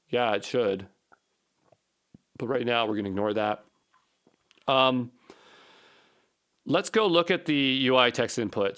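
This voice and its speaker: A man's voice: medium pitch, fairly bright tone, clean tone. A man giving an unscripted coding walkthrough as an instructor, thinking aloud and muttering short repeated phrases as he puzzles things through. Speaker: programming instructor.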